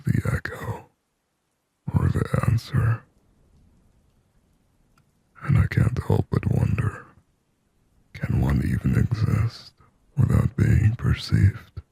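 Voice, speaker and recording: Guy doing a lonely demon asmr roleplay, super low gravelly whisper, slow n brooding, right up on the mic. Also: dark tone, no echo, heavily acted. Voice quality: breathy, raspy whisper. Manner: slow, brooding, pleading, poetic. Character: lonely, yearning sleep paralysis demon haunting the listener. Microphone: close-up ASMR microphone.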